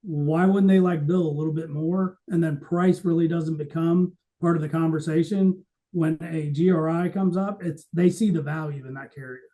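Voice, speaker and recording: Male podcast co-host, notably high pitch, dark and relaxed tone, conversational explainer who stresses his points and role-plays quotes. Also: moderate pace, poor audio. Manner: explanatory, emphatic, acts out quoted remarks. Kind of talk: conversational industry podcast talk.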